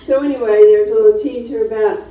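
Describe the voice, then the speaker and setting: A woman's voice: a little high, fairly even intonation, quick pace. A woman hosting a seminar panel informally, chatty and loosely phrased as she introduces speakers. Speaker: seminar host and panel moderator. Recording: very echoey room, distant dull mic.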